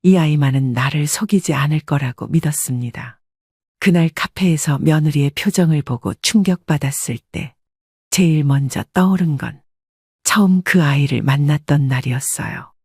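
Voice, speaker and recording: An older woman narrates a personal life story in a confessional, scripted style. Her voice is husky and sounds close, with no room around it. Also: sits low, moderate pace, a little mellow, no room sound.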